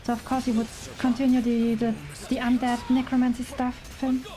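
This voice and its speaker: A woman's voice: a little high, flat delivery, a little fast, dark tone. A woman from Germany with a German accent chats casually as a game streamer, in an informal, giggly and enthusiastic way. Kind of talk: casual chatty livestream commentary. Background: music.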